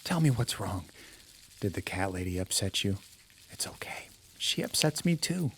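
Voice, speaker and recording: An actor playing an awkward, guarded loner in an audio drama, polite but on the defensive. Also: male voice, sits low, sing-song, normal speed, a little hiss or hum. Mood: tender, concerned. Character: guarded, awkward loner man who talks to his gourd.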